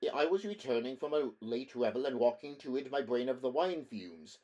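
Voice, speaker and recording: A man's voice: medium pitch, lively intonation, brisk, warm tone, dull audio, lightly acted. A man doing a lisping, foppish young nobleman. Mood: affected. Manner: lisping, affected.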